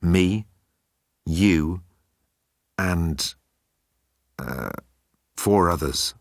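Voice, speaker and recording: A man's voice: low-pitched, drawn-out words, gravelly, no echo, clean studio sound, heavily acted. A man voicing a cocky, flippant male alien rogue with a breezy, teasing manner in a comic sci-fi audiobook. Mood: flattering.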